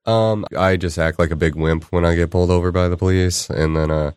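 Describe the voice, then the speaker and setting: Very deep male comedian, dry offbeat humor, dry close mic. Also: steady tone, brisk, darkish tone, clear, resonant tone, no echo. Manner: dry, offbeat jokes told offhand. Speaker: comedian guest. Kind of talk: casual comedy podcast chat.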